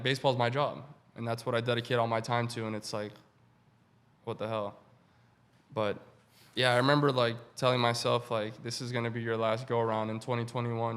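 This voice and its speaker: A relaxed young man in his twenties speaks in an even, level tone with little rise or fall in pitch. His talk is easygoing and conversational, with lots of "man" and "like" along the way, the way a guest chats on a podcast. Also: smooth. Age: 20s. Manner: laid-back, peppers speech with "man" and "like". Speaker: professional baseball player, guest. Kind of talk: casual interview talk.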